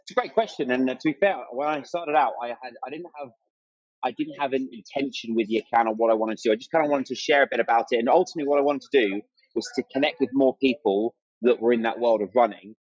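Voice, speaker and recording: A man's voice: mid-range voice, fast talker, darkish tone, no room sound, dull audio. A man chatting casually and enthusiastically as a guest on a podcast. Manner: chatty and enthusiastic, acts out remembered exchanges. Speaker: interview guest, amateur runner and content creator.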